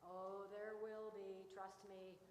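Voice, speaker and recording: A woman's voice: mid-range voice, level tone, slow pace, crowd noise, close to an everyday voice. A woman playing a scheming, sardonic festival-goer in a live radio comedy, dry and conspiratorial. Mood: sly. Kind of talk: live comic radio-show acting. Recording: echoey hall, faint noise.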